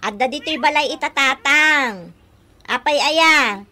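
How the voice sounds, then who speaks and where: Bright, high Ilocano daughter. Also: female voice, unhurried. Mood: puzzled. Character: scolding, exasperated grown daughter. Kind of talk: comedic radio drama acting.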